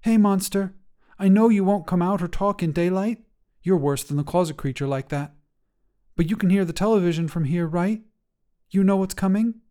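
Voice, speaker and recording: A man's voice: high-pitched, normal speed, dark tone, pitched above the performer's own voice, close to an everyday voice. A man voicing an anxious, earnest little girl who pleads in an urgent whisper.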